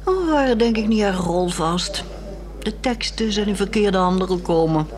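A woman acting a weary, resolute married woman in a 1960s radio drama, speaking in a measured, articulate and wry way. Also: mid-range voice, expressive, a little mellow, natural voice. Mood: disillusioned.

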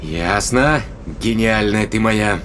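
An actor playing a calm, practical spaceship captain with a wry, casual manner in a science fiction audio drama. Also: male voice, on the low side, slow pace, faint music behind. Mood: wry, matter-of-fact.

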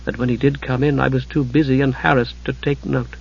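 A man voicing an anxious, lovestruck but determined young woman in an audiobook, speaking softly. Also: medium pitch, brisk, breathy edge, highs cut off, close to an everyday voice. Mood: self-reproachful. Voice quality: soft.

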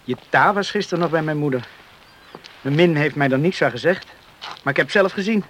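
Actor as a high-voiced, earnest beachcomber. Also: male voice, brisk, a bit bright, a little hiss or hum, lightly acted. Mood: hesitant, coaxing. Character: friendly male island beachcomber, earnest storyteller, shy suitor. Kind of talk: radio play acting.

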